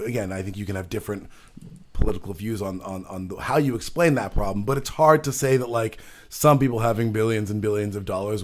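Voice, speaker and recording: Male host, loose rambling delivery, frequent self-corrections. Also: fairly low pitch. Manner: rambling, many restarts and fillers. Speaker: show host. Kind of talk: casual political chat.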